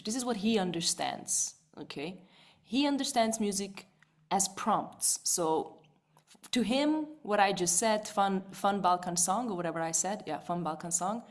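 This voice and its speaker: A woman leads a live online webinar as a vocal coach. She talks in a lively way, repeats herself and often checks in with "right?" Her voice sits at an ordinary middle pitch. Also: normal speed. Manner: lively, repeats words, asks listeners "right?".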